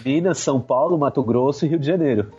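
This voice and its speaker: A man's voice: medium pitch, fast, dark tone, husky. A Brazilian man co-hosting a podcast, casually explaining history. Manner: explanatory, informative. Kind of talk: casual conversational chat on history. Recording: slightly dull lo-fi sound.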